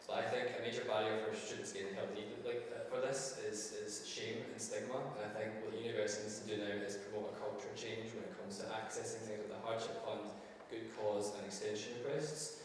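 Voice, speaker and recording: A man's voice: fairly low pitch, level tone, brisk, hardly any pauses, slight background noise. A young man, a student election candidate, giving an earnest, policy-focused campaign speech at a hustings. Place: university hall. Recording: echoey hall, mic from far off.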